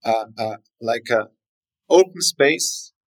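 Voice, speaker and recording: A man's voice: medium pitch, expressive, takes it slowly. A man from South Tyrol with a South Tyrolean German accent, a native German speaker, talking in a podcast interview and often repeating words as he searches for the English. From South Tyrol, Italy. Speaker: winery guest.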